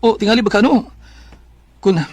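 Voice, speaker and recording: A man's voice: sits high, brisk, a little mellow, husky. An actor playing a jealous, nagging young man in a Cebuano Bisaya radio drama. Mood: casual, teasing. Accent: Cebuano Bisaya, Philippines.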